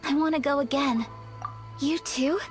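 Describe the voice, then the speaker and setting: A woman playing a gentle, refined young woman in a video game, soft-spoken, sincere and a little shy. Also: high-pitched, a little slow, faint music behind. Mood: happy, grateful. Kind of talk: video game character dialogue.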